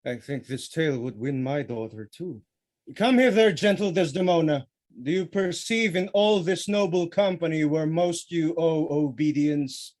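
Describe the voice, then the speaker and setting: Formal duke played by a man, lightly high. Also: darkish tone, natural voice. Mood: gracious. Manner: formal, measured authority. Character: authoritative, diplomatic male Duke of a state. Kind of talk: Shakespearean audio drama acting.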